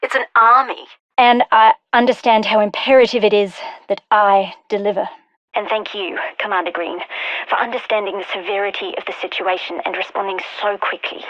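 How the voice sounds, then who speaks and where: An actress playing a brilliant, wry and determined scientist in a video game, speaking articulately in scripted lines and journals. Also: female voice, medium pitch, steady tone, a little fast, a bit bright, no echo, muffled recording, natural voice. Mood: urgent, businesslike. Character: brilliant wry female scientist, guilt-ridden, urgent and determined. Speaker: scientist character.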